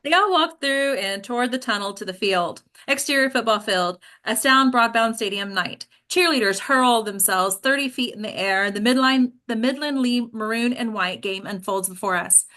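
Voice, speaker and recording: A woman's voice: a little high, normal speed, sharp tone. A woman reading scripted stage directions as narrator at a table read.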